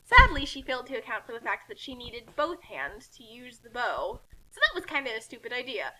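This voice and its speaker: A woman reading a story aloud as narrator, in an animated, informal style with casual asides. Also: a little high, a little fast, poor audio. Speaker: narrator reading a fan fiction.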